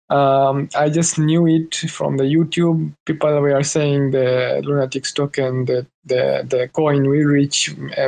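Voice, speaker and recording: A man's voice: a little high, a little mellow, rough recording. A man from Tanzania with a Tanzanian accent, hesitantly asking a question in a live audio room, searching for words.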